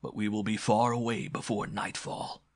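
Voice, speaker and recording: A man's voice: lowish voice, level tone, moderate pace, dry recording, clean studio sound. A man voicing a brutal, scheming male villain in a throaty, guttural, boastful way for an adventure story. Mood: cruel contempt. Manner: boastful, menacing.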